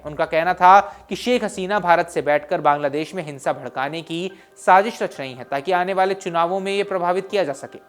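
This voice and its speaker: A man presenting scripted news in an emphatic, explanatory way. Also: sits high. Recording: voice over music bed. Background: background music.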